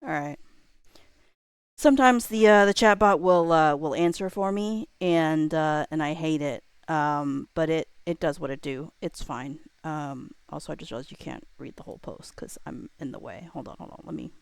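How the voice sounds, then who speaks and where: A woman's voice: low voice, normal speed, gravelly, smooth, no echo. A woman livestreamer chatting casually and thinking aloud, with a playful, self-deprecating and hesitant manner, muttering to herself as she works.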